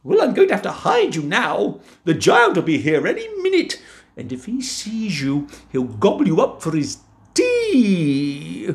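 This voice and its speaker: A man voicing a flustered, kindly giant's wife, in a high fluttery put-on voice. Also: wildly swooping pitch, measured pace, full character voice. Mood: urgent. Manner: fussy, dithering, talks to herself.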